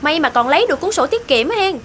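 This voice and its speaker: A woman voicing a scornful, selfish young wife in a cartoon, with a sharp scolding manner. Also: high voice, big pitch swings, fast talker, slight background noise. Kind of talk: animated drama voice acting.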